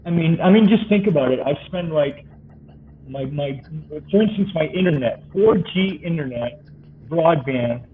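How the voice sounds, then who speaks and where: A man's voice: mid-range voice, slight background noise. Older man on a muffled, tinny mic. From Canada. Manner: rambling, hesitant, trailing off. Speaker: fellow player on voice chat. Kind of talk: casual gaming chat. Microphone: voice-chat mic.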